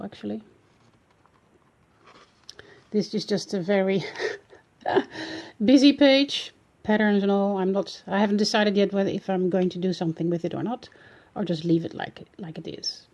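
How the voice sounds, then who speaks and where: A woman's voice: medium pitch, fairly even intonation, moderate pace, darkish tone. A woman with a Dutch accent, a native Dutch speaker, casually narrating a flip-through of her art journal and searching for words as she goes.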